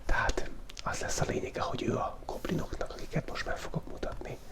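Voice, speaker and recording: A man hosting a relaxed ASMR drawing video, whispering in a loose, playful way and thinking aloud as he sketches, close to the microphone. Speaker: channel host. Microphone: close studio microphone picking up pen tablet.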